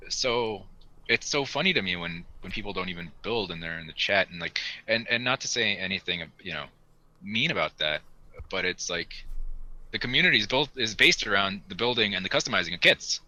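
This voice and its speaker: A man's voice: fairly low pitch, expressive, a little fast, bright, forceful tone, rough recording. A man hosting a casual hobby podcast, conversational and steering the discussion. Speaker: podcast host.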